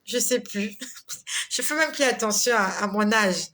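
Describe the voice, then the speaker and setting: Woman, 40s, chatty faith talk, laughs often, clear bright tone. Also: on the high side, animated, a little slow. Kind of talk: casual live devotional talk reading viewer comments.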